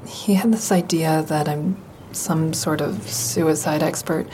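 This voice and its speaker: A woman's voice: deep voice, steady tone, slow, warm tone, slight background noise, rough recording. An actress playing a guarded, wry woman who narrates her troubled romance in an intimate, confiding audio drama style. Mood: uneasy, guarded. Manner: confiding, dry, introspective.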